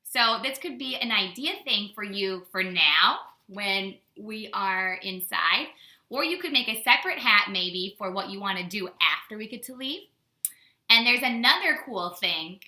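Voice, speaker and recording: Bright, echoey American woman teaching kids. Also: mid-range voice, measured pace. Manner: animated, simple wording with rhetorical questions. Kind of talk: casual storytime lesson for young children. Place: indoors at home. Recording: roomy, echoey indoor home recording.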